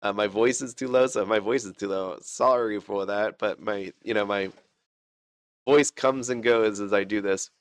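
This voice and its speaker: A man's voice: on the low side, moderate pace, clear, resonant tone, no room sound, clean studio sound. A sick man rambling through casual gameplay commentary, chatty and self-deprecating, with lots of fillers and restarts. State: sick, fighting a cough. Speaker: gaming channel host.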